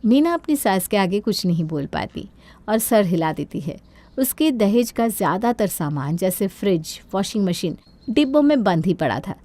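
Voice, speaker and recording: A woman's voice: medium pitch, dark tone. A woman storyteller reading a scripted moral story.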